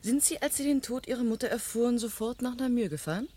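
An actress playing a self-possessed amateur sleuth in a German radio crime drama, direct and composed in manner. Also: female voice, fairly high pitch, quick pace, mellow, close to an everyday voice. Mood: probing.

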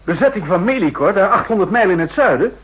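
An actor playing a wary, objecting army general in a 1960s radio drama, with a husky voice. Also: male voice, high voice, lively intonation, fast, dull audio. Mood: incredulous.

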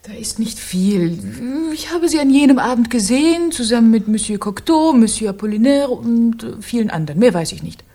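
A guarded woman tending a patient in a radio crime drama. Her voice is fairly high with a husky, breathy edge. She speaks politely but briskly and evasively. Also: animated, warm tone.

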